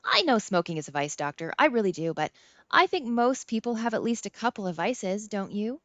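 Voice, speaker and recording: A woman's voice: mid-range voice, big pitch swings, a little fast, a bit bright, dry recording, voice pushed up. A woman voicing a sly, evasive teenage boy in an audiobook, chatty and mock-earnest. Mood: mock sincere.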